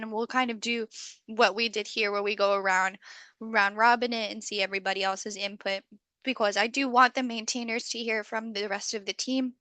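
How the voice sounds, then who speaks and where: A woman hosting an online community call, friendly and full of okays, slightly hoarse as she loses her voice. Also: mid-range voice, sharp tone, dry recording. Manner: friendly facilitator, many okays. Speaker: meeting host. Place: video call.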